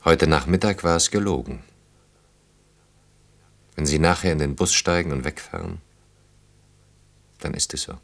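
A man's voice: deep voice, measured pace, vocal fry, pauses often, a bit bright, exaggerated voice. An actor in a German radio play, playing an earnest, self-reproaching man in his thirties in love, speaking in an intimate, ruminative way. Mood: regretful, restrained. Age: 30s.

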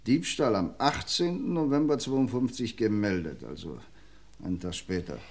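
Fields: wry police commissioner. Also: male voice, on the low side, expressive, gravelly, darkish tone. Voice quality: measured, lecturing and wry. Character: shrewd, dryly ironic male police commissioner. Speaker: police inspector. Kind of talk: 1950s radio crime drama.

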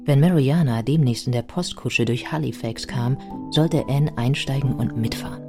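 Low-voiced literary biographer over music. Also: female voice, moderate pace. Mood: vivid narration. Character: thoughtful modern female biographer narrating her research. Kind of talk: scripted literary narration in a radio play.

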